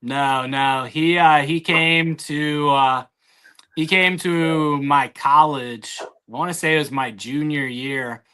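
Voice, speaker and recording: Clear-voiced man in casual banter. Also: fairly high pitch, fairly even intonation, slow pace, sharp tone.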